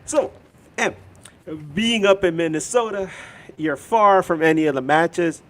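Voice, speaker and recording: Animated man, slightly high voice. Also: a little slow. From Los Angeles. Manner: animated storyteller, laughs often. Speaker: podcast co-host. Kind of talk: casual soccer chat.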